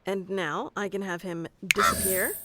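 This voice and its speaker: A woman's voice: mid-range voice, steady tone, a little slow, heavy background noise, lightly acted. A woman playing a bossy, geeky tsundere wizard teacher who explains things informally and smugly in an ASMR roleplay. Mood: smug, instructive. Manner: informal, smug, flustered asides, explanatory. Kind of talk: scripted ASMR roleplay audio addressed to the listener.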